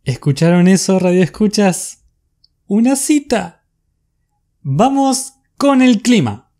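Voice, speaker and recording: Radio drama host: Latin American accent, mellow, theatrical and lovestruck, very animated delivery. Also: male voice, high voice, slow, full character voice. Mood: thrilled. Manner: dramatic, confiding. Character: infatuated, theatrical small-town male radio host. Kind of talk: radio drama monologue as a broadcast.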